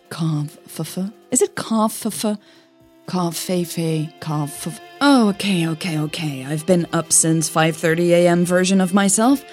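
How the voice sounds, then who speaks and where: A woman with a soft, breathy voice, a podcast host who narrates her own audio fiction, over music. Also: low voice. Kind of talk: scripted story narration with casual host outro. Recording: music bed under the voice.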